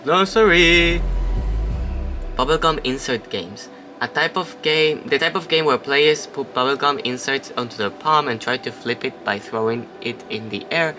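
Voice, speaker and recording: A man's voice: medium pitch, normal speed, noisy background. A man casually playing a story game, reading its narration aloud and chatting between lines, now and then swearing. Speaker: let's play host.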